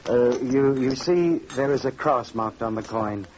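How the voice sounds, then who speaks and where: An actor playing a grave, careworn middle-aged fisherman father in old-time radio drama style, halting and earnest. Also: medium pitch, darkish tone, natural voice. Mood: grave, resolved. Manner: halting, earnest, repeating words. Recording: dull, noisy vintage radio recording.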